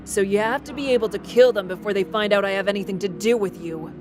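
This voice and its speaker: A woman's voice: mid-range voice, fast talker, noisy background, close to an everyday voice. A woman voicing an impish demon boy in an audio drama, teasing and sly, with a theatrical drawl. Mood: scheming.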